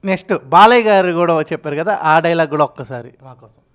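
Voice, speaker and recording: A woman's voice: low voice, animated, fast talker. A woman interviewing in a chatty, effusive and encouraging way.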